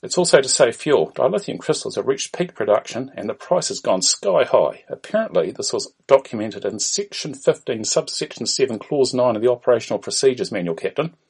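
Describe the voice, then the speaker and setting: A man's voice: mid-range voice, very animated, brisk, gravelly, hardly any pauses, darkish tone, slightly rough, exaggerated voice. A man voicing an eager young starship engineer, explaining earnestly and in detail.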